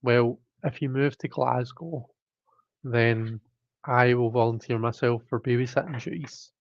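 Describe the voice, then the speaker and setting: A man's voice: mid-range voice, steady tone, unhurried, dry recording, highs cut off. A man hosting a live stream, chatting casually and reading chat, rambling with many fillers and restarts while his throat keeps catching. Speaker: livestream host reading chat.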